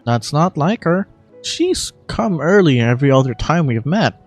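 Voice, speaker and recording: Woman playing an earnest, low-voiced game adventurer. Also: sing-song, moderate pace, a little mellow, faint music behind. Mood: concerned. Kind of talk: scripted game dialogue.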